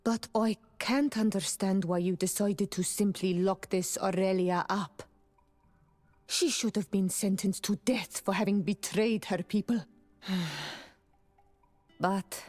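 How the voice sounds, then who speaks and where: An actress playing an earnest, gentle young island tribeswoman in a video game, speaking in measured, formal phrases. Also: medium pitch, steady tone, no room sound, soft background music, lightly acted. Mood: resolute. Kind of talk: video game romance dialogue acting.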